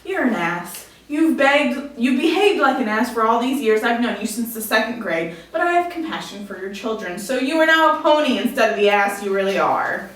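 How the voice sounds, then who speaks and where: A woman's voice: fairly high pitch, big pitch swings, normal speed, fairly bright tone. A woman at a table read playing an indignant, vengeful witchy woman, in an echoey room far from the microphone. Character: indignant, vengeful witchy woman who talks to her cat. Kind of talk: amateur screenplay table read.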